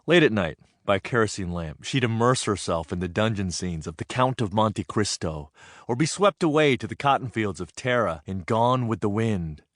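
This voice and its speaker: A man's voice: on the low side, no echo, studio quality. An American actor playing an earnest, reflective Japanese American man recalling his farm childhood in a dramatic audio theatre monologue. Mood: fond, nostalgic. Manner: expressive, deliberate storytelling with weighted pauses.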